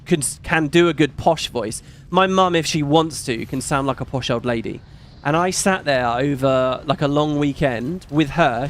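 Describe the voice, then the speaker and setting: A middle-aged man with a British accent, chatting casually on his live stream; his voice is fairly high and not macho, and he rambles and swears casually.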